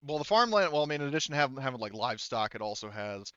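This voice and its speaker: A man narrates a tabletop game in casual, halting speech, often repeating himself. His voice is bright and clear, of middling pitch, on a dry but slightly lo-fi track. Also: sing-song, dry recording. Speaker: game master.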